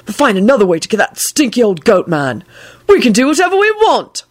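A woman voicing an impulsive, excitable young male wizard in a fan fiction reading, with a high, shrill delivery. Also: fairly quick. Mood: eager.

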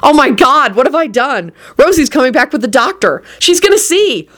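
A woman's voice: medium pitch, animated, normal speed. A woman voicing a shy, awkward young male wizard who is touchy and sullen, in an audiobook story. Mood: panicked.

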